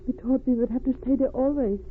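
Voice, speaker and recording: A haunted refugee wife played by a woman tells of her ordeal. Her phrasing has foreign word order, and the grief sits in every pause. It is vintage radio drama, narrow and tinny with a light layer of noise. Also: sits high, steady tone, lightly acted. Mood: troubled. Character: distraught refugee wife haunted by a smuggler's crime. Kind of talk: old radio drama acting.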